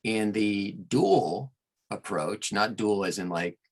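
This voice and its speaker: A man's voice: on the low side, takes it slowly. A man talking conversationally as an interview guest, explaining his craft in an enthusiastic, expansive and emphatic manner. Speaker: interview guest, audiobook narrator and producer.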